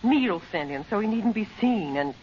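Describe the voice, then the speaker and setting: Lady acting a tender anxious mom in an old radio play, lots of pitch movement, slightly noisy. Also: lively intonation, a little fast, close to an everyday voice. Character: anxious, tender mother of grown children. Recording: muffled old radio recording, faint noise.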